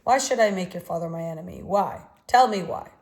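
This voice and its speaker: A woman voicing a blunt, forceful older male artist with a strong voice and a faint Russian accent. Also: on the high side, expressive, measured pace, voice pushed up. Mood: exasperated. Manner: forceful, insistent, commanding.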